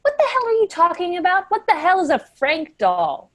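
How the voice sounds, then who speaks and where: A woman's voice: very high voice, wildly swooping pitch, moderate pace, a bit bright, no echo, full character voice. A woman playing a sensitive, guilt-ridden and defensive office worker in a staged play reading.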